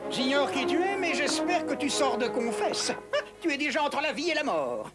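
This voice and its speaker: A man's voice: extremely high pitch, sing-song, moderate pace, loud music behind the voice. A man voicing a boastful, sneering villainous knight in a cartoon, haughty and taunting. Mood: threatening.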